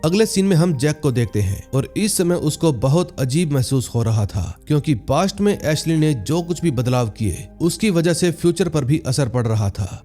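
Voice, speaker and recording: A man's voice: fairly high pitch, mellow. A man narrating a scripted movie recap in a storytelling style, with music behind him. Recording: music bed under voice. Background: background music.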